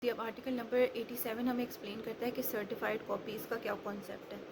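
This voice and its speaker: Female lecturer, slightly high pitch, instructional delivery mixed with English, noticeably noisy recording. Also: flat delivery, fast. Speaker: law lecturer. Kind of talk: explanatory lecture mixing Urdu and English. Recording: clearly noisy background.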